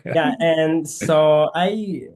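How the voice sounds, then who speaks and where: Guatemalan guy, k'iche' first language, halting english, keeps hunting for words. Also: on the high side, steady tone, a little mellow. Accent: Guatemalan Spanish. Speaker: guest, physical therapist missionary. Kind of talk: conversational interview.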